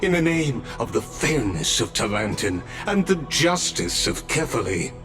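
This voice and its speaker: A man's voice: mid-range voice, big pitch swings, a little slow, music in the background. A man voicing a dignified statesman in a fantasy video game, formal, solemn and courtly in his delivery. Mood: solemn, measured. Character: dignified, measured male elder statesman of a fantasy city. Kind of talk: formal dramatic game voice acting.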